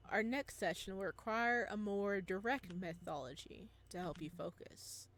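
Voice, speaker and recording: A woman voicing a cold, controlling male therapist in a visual novel game, speaking in a flat, clipped, sharp manner. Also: mid-range voice, moderate pace, slight background noise, close to an everyday voice. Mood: coolly placating. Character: cold, controlling male therapist running eerie ritual sessions.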